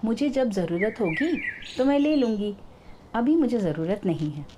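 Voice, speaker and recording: A woman's voice: a little high, expressive, normal speed, dull audio, noisy background, close to an everyday voice. A woman voicing a cunning, brazen swindler woman in a told story, with an insistent, theatrical manner. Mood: polite, requesting. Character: cunning brazen swindler woman, pleading then scornfully denying.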